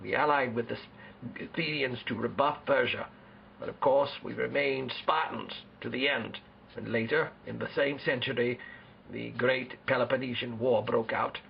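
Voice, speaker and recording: A man playing a proud, solemn ancient Spartan king who speaks formally and deliberately in an amateur role-play interview. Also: medium pitch, fairly even intonation, creaky, muffled recording, slight background noise.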